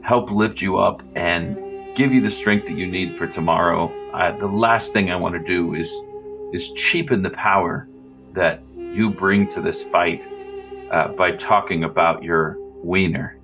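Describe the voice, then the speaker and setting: A man with a low voice plays a tabletop game, talking earnestly and at length. His pace is slow and measured, and string music plays clearly behind him. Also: steady tone. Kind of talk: casual chat and in-character roleplay at a game.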